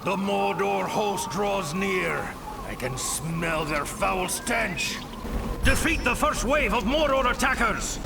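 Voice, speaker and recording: An actor playing a dutiful dwarf soldier in a fantasy game, speaking in an urgent, emphatic way. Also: male voice, high-pitched, gravelly, full character voice. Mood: battle alert. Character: urgent, dutiful male dwarf soldier advising his king. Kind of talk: fantasy game character acting. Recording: loud music over the voice.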